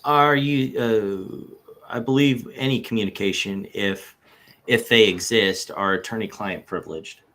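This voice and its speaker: A man's voice: lowish voice, unhurried, a bit bright. A man playing an evasive, combative witness in a read-aloud deposition, guarded, curt and defensive. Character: evasive, combative male witness under questioning.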